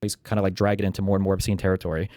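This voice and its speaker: A man with a slightly low voice talks extremely fast in casual political discussion. The sound is dry and close, and his phrasing rambles, padded with filler words. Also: flat delivery, darkish tone, dry recording.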